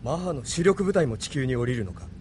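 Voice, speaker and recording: A man's voice: mid-range voice, lively intonation, fairly quick, darkish tone, a little hiss or hum, close to an everyday voice. A man playing a serious, thoughtful young resistance leader in a Japanese audio drama. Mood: questioning.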